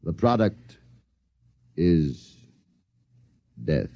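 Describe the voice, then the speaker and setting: An actor playing a coolly polite, menacing Martian invader in a 1950s radio drama, speaking formally with mock courtesy. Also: male voice, low voice, very animated, extremely slow, no echo, lo-fi sound, heavily acted. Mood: smug, courteous. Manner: suave, formal, mockingly courteous. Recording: vintage radio broadcast.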